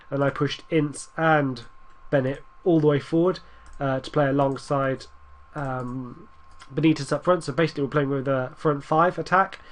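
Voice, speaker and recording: A young man with a stuffy nose from a cold, rambling through casual commentary over a football management game and urging his team on. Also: a little high. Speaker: gaming YouTuber.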